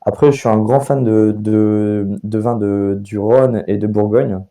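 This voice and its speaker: A man's voice: fairly low pitch, steady tone, a little slow, dark tone. A young man, a bartender and sommelier, chatting casually on a podcast, with lots of hesitations and repeated words.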